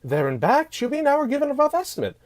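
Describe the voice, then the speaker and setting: Woman voicing a very animated, eager teen boy. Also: high voice, fast, no echo. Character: cheerful, mysterious teen boy, eager adventure leader. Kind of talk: amateur script-read voice acting.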